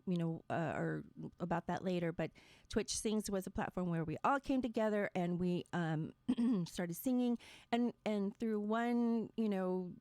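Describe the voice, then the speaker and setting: A woman hosting a casual livestream podcast as a variety streamer, upbeat and excitable, with lots of ums and restarts. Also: lowish voice, no echo.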